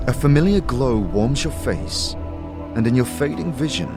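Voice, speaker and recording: A man giving dramatic scripted second-person narration for a fantasy audio series, in a measured, theatrical storytelling manner. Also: mid-range voice, sing-song. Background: music.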